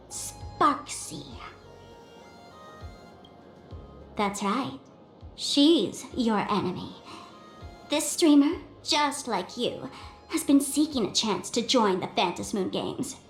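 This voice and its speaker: Sly, high-voiced, unhurried enigmatic lady. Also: lively intonation, reverberant, soft background music. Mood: teasing, knowing. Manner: slow, teasing, theatrical. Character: sly, elegant, enigmatic woman who speaks in riddles. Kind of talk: video game voice acting.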